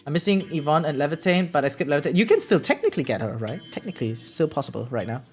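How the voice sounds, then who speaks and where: An excitable gaming streamer chatting casually and slangily on a livestream, swearing freely. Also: mid-range voice, fast. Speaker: variety game streamer. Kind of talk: casual livestream chat about gacha games. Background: background music.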